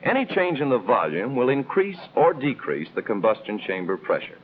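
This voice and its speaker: An American man reading scripted instructional narration in the style of a 1950s military training film, explaining in a measured, didactic way with clear emphasis. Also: mid-range voice. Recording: tinny band-limited old film soundtrack, noisy.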